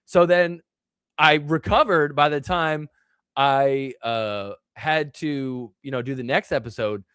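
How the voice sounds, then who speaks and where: A man's voice: mid-range voice, slow, fairly bright tone, clean tone, dry recording, studio quality. A man hosting a casual live stream, rambling with lots of fillers, reading out the chat, his voice still recovering from being lost. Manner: rambling, filler-heavy, reads chat aloud. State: recovering from illness and voice loss. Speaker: host of a live stream show.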